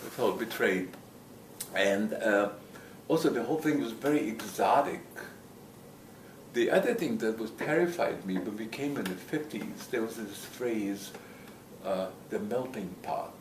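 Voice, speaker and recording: Older guy w slight latvian accent, lowish voice, chatting about his memories, noisy room. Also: measured pace. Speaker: interviewee. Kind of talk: casual reminiscence. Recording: echoey room, distant mic, noisy background.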